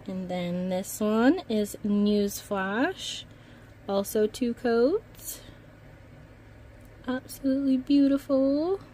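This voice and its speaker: A woman's voice: medium pitch, very slow, warm tone, a little hiss or hum. A woman chatting casually and enthusiastically as she shows off nail polish products as a vlogger. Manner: gushing, enthusiastic. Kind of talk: casual product showcase chat.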